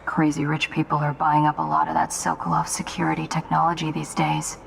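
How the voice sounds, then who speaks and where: A woman's voice: low voice, completely flat delivery, a little fast, vocal fry. An actress playing a pragmatic woman assassin who reports to her leader in a dry, matter-of-fact way. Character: blunt young woman assassin, pragmatic lieutenant. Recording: reverberant room with background noise.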